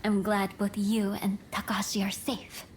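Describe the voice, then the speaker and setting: An actress playing a dignified, stern princess in a video game, speaking formally and with composure. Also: female voice, mid-range voice, completely flat delivery, moderate pace, a little hiss or hum, lightly acted. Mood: composed, gracious. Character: dignified, stern Japanese princess, commanding and gracious. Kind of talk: dramatic video game acting.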